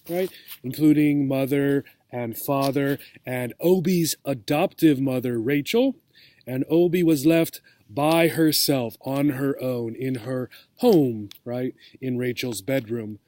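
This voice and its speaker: A man reading a children's storybook aloud as a teacher, expressive and checking in with listeners. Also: fairly high pitch, dry recording. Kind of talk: storybook read-aloud for English learners.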